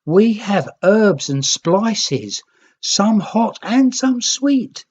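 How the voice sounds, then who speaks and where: A woman's voice: medium pitch, big pitch swings, drawn-out words, darkish tone, slightly rough, dull audio, voice pushed up, exaggerated voice. A woman voicing a cheerful, kindly young green nix creature in a children's storybook, eager to share. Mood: warm, inviting.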